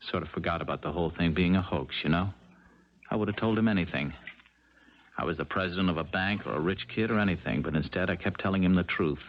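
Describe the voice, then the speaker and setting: A man's voice: low-pitched, fast talker. An American man playing a hesitant, conflicted ex-con in a 1970s radio drama, plain-spoken and wistful. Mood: reluctant, wistful. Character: reluctant male ex-con hired to kill, conflicted, wistful. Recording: muffled, noisy 1970s radio recording.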